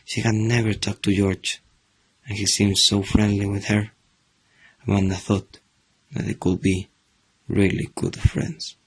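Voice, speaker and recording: A man's voice: lowish voice, level tone, unhurried, husky, rough recording. A teenage male student with Spanish as his first language, reading scripted story narration for a radio drama. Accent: Chilean. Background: faint music.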